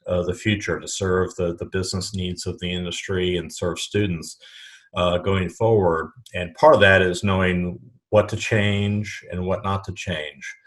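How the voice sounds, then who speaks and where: A man's voice: low-pitched, little pitch movement, measured pace, fairly bright tone. A middle-aged man from the Chicago suburbs, a retail educator, speaking as a podcast guest in a thoughtful way, often repeating words as he restarts phrases. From Chicago suburbs, USA. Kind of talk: conversational podcast interview.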